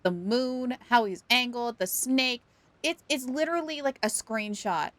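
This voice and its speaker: A woman's voice: a little high, measured pace, fairly bright tone, no echo. A young woman livestreaming a video game, chatting casually in a playful, giggly, exclamatory way. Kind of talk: casual gaming stream commentary and chat.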